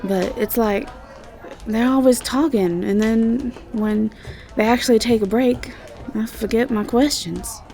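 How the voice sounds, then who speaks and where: Casting: actress as sweet airheaded college siren, Southern drawl, unhurried and mellow, rambling roleplay audio delivery. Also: female voice, on the high side. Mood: bubbly, friendly. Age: young adult. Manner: hesitant, rambling, giggly casual speech. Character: sweet, ditzy young female siren university student.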